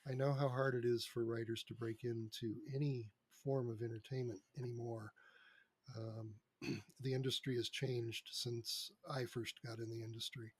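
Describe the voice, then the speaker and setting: A man with a husky voice talking casually and candidly as an interview guest, explaining things through anecdotes. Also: medium pitch, flat delivery, normal speed. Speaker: interview guest, radio drama producer. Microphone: close microphone on a remote online recording.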